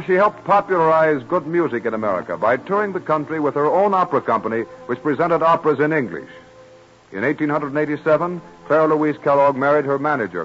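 A man narrating a 1930s radio documentary in a formal, measured way, on an old broadcast recording. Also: fairly high pitch, highs cut off.

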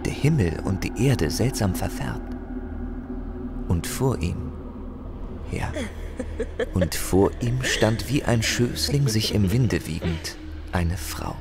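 A man narrating a fantasy audio drama in a solemn, measured, expressive storytelling manner. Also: lowish voice, loud music behind the voice. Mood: solemn, awed. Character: solemn male narrator of a barbarian saga.

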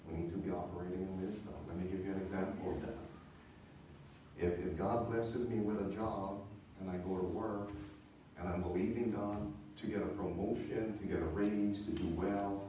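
Man, pastor, conversational sermon, repeats key points, mellow low voice, very echoey church. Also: steady tone, normal speed. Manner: conversational teaching with check-in questions and repetition. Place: church sanctuary, speaking from the stage. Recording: echoey church hall, distant mic, faint noise.

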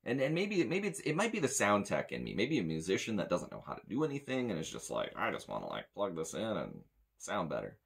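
A deep-voiced American man with plenty of gravelly fry talks bluntly to camera. His casual, rambling review grumbles along, with the pitch moving about in a lively way. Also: a little fast. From United States. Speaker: sound tech reviewing audio gear. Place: small indoor room with metal shelving.

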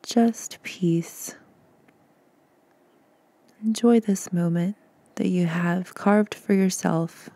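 A woman guiding a meditation in a soft, soothing, gentle voice, breathy at times, with unhurried pauses. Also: lowish voice, no echo. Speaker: podcast host and meditation guide. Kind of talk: guided meditation narration.

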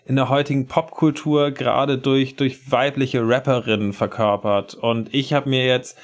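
A man's voice: mid-range voice, fairly even intonation, moderate pace. Man hosting a casual podcast quiz. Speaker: podcast quizmaster. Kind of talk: casual group podcast chat.

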